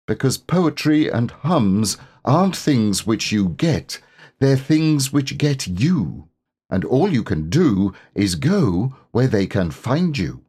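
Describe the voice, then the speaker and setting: A gentle bear from a children's storybook, acted by a man, with a husky, unpolished voice. He muses earnestly and takes his time, slipping easily into a sing-song lilt. His pitch moves about freely. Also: very animated, slow, heavily acted. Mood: musing, hopeful. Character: gentle, musing bear who hums and makes up verse. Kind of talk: children's storybook character reading.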